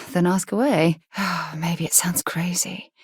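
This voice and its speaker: A woman voicing a principled, caring space explorer in a video game, with an articulate, formal and expressive delivery. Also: on the low side, takes it slowly, husky, no room sound, heavily acted. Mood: tender, wondering.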